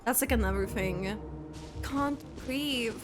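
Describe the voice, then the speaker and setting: Girl streamer doing game reactions, high voice, slow halting chatty thinking out loud. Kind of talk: casual reaction commentary. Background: game music.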